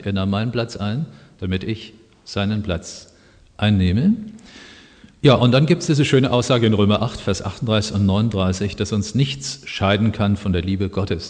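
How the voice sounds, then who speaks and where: A man's voice: fairly low pitch, a little mellow. A man from Germany preaching a sermon in a conversational, explanatory way, moving between Bible quotations and personal anecdotes. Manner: conversational, explanatory, pausing with fillers. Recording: long echoing reverb of a hall.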